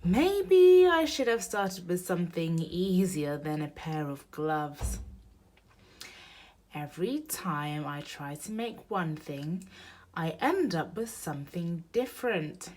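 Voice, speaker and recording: A woman with a slightly low voice reads a children's picture book aloud. She takes her time over each phrase, and her pitch rises and falls in an animated, storytelling way. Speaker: storybook reader.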